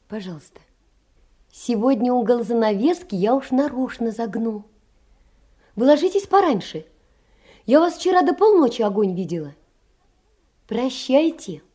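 An actress playing a gentle, lonely poor young woman, wistful and earnest, in a theatrical literary audio drama. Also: fairly high pitch. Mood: tender, inviting.

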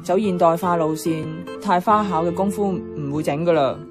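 A woman's voice: lowish voice, moderate pace, over music, natural voice. An actress playing a nostalgic, adventurous young woman in a radio drama, chatting with a Hong Kong Cantonese accent. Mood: fond reminiscing. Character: nostalgic, playful, adventurous young woman revisiting her childhood neighbourhood.

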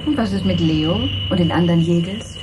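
A woman's voice: lowish voice, a little fast, background noise, lightly acted. A woman acting an insistent, uneasy rebel from a space colony in a German radio play. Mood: doubtful, worried.

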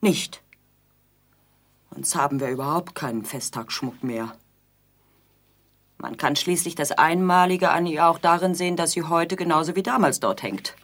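A reproachful, needling bride in a radio drama. Her voice is low for a woman and somewhat husky. She delivers her lines theatrically, with a dry, pointed sense of grievance. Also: normal speed, pauses often. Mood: pleading.

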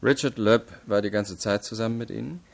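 An actor playing a stern, probing investigator in a radio crime drama, formal, measured and insistent. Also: male voice, on the low side, creaky. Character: stern, probing adult male investigating state attorney. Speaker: interrogator.

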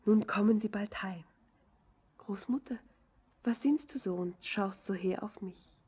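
An actress playing a dreamy, tender young miner's daughter in a German radio play, lyrical and often lapsing into whispers. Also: female voice, mid-range voice, measured pace, mellow, husky. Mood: wondering, dreamy.

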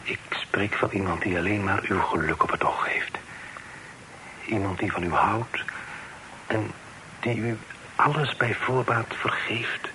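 An actor playing an earnest, considerate gentleman in a radio drama, speaking in a measured, formal and gentle way. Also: fairly low pitch. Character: earnest, considerate gentleman bearing news of reconciliation. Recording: noisy old radio play recording.

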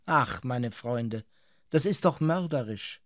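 An actor in a radio drama playing a world-weary whaler with a philosophical, resigned manner. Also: male voice, mid-range voice, expressive, takes it slowly, no room sound, clean studio sound. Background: faint music.

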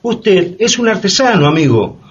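High-pitched brusque hiring man. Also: very animated, moderate pace. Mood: dismissive. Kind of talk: radio play acting. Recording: dull, noisy radio drama.

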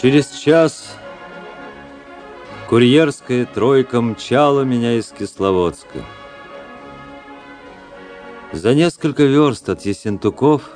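A man's voice: sits low, a little mellow. An actor playing a brooding, world-weary young officer, narrating his past in a reflective, literary radio drama style. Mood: gloomy, reflective. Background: music.

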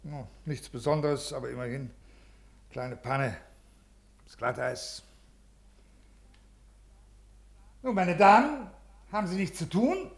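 Gravelly, brusque senior bureaucrat. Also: male voice, a little high, lively intonation, heavily acted. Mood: brisk, evasive. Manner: officious, halting, irritable with subordinates. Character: brusque, uneasy male senior bureaucrat, troubled by conscience. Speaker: actor in a radio play. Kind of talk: 1950s German radio drama acting. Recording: old 1950s radio recording.

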